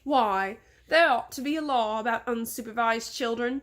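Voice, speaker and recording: A woman's voice: on the high side, normal speed, a bit bright, lightly acted. A woman voicing a pompous, insulting rich man with an English accent in a storybook, imperious and scolding. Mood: haughty, indignant.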